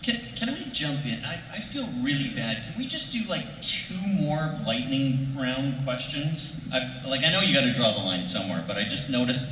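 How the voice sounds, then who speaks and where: A man speaking casually as a panelist, animated and quick to jump in. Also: medium pitch. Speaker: convention panelist. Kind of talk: casual unscripted panel banter. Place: convention panel room. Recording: echoey noisy hall from far off.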